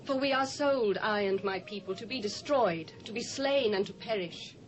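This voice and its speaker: A woman's voice: normal speed, faint music behind, natural voice, poor audio. A British woman playing a dignified queen who entreats formally and earnestly in a scripture audio drama. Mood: pleading. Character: earnest, dignified young queen pleading for her people. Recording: faint noise and rumble, old cassette.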